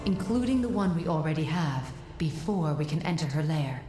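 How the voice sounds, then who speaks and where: An actress playing a solemn, wise guide in a fantasy video game, with a measured, formal and authoritative delivery. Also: female voice, low voice, lively intonation. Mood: calm, reproving. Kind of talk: dramatic video game acting. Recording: roomy echo, background music.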